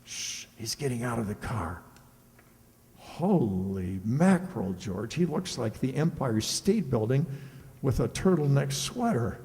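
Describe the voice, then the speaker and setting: A man's voice: medium pitch, big pitch swings, unhurried, dark tone. An amateur actor playing a boastful, girl-crazy, teasing bachelor pal in an old-time radio comedy. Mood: awed, teasing. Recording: echoey hall with long reverb.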